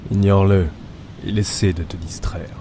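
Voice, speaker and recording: A man's voice: deep voice, steady tone, normal speed, background noise, natural voice. An actor playing a dutiful male assistant in an audio drama, brief and stolid in delivery. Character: deep-voiced, dutiful, curt male assistant.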